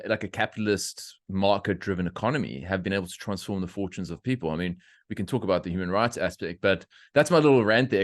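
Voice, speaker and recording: A middle-aged man from South Africa hosting a podcast, talking in opinionated, animated rants with laughter. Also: fairly low pitch, no echo, clean studio sound. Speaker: podcast host. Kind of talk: casual interview chat.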